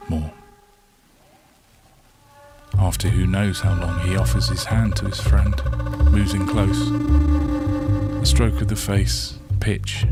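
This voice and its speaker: A man reading a story as scripted narration, in measured short phrases with dramatic pauses. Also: low voice. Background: soft plucked guitar soundtrack.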